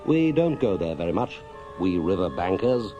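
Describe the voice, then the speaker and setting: A man voicing a brisk, friendly, excitable water rat in a children's storybook, with hearty, chatty gentlemanly talk. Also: low voice, highs cut off, over music. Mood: matter-of-fact. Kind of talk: children's storybook character reading.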